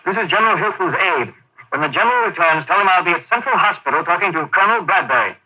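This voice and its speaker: Tinny old-radio army captain. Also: male voice, high-pitched, expressive, fast, a little hiss or hum. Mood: urgent, commanding. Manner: deferential, clipped military formality. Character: dutiful, earnest army captain, a general's male aide. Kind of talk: old-time radio drama acting.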